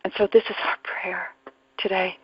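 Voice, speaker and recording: A woman leading a guided spiritual meditation in a contemplative, unhurried way, speaking phrase by phrase with long pauses, her voice breathy and at times close to a whisper. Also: medium pitch, little pitch movement, no room sound. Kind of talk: guided meditation, reflective spiritual talk with readings. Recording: dull, muffled lo-fi audio.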